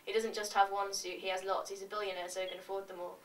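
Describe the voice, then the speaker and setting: A woman reading scripted confessions in an awkward, deadpan way. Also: mid-range voice, quick pace. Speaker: reader of fans' confessions. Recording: distant mic, roomy cellar, faint noise.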